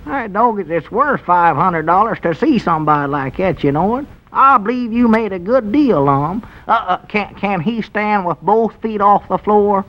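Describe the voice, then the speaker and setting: A puzzled, literal-minded country sidekick in a 1940s-style radio comedy. His voice sits fairly high for a man, with a hesitant rural Southern American twang and folksy wording. It comes through as dull, lo-fi vintage broadcast sound. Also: lively intonation, normal speed, few pauses. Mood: incredulous.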